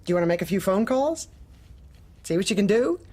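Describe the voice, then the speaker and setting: A woman's voice: medium pitch, sing-song, fairly quick, a little mellow, breathy edge. A woman playing a manipulative, officious corporate manager in a darkly comic audio drama, speaking with bland politeness and veiled menace. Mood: politely insistent. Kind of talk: audio drama acting, dark comedy.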